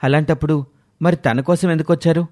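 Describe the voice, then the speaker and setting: A man's voice: fairly high pitch, fairly even intonation, a little fast, darkish tone, voice pushed up, natural voice. Man playing an earnest young brother in a story. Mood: curious, surprised. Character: caring, indignant young adult brother and son.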